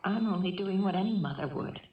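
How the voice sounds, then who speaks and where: An actress playing a calm, caring middle-aged mother held hostage in a 1970s radio drama, measured, earnest and gently persistent. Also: mid-range voice, slight background noise, lightly acted. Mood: concerned, caring. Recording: dull, echoey vintage radio recording.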